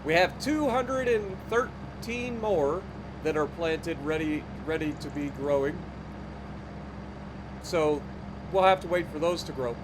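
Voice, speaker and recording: A man's voice: fairly high pitch, slow, background noise. A man with a stuffy, nasal voice giving easygoing commentary over his farming game, thinking aloud with fillers. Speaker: gaming YouTuber. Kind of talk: casual let's-play commentary.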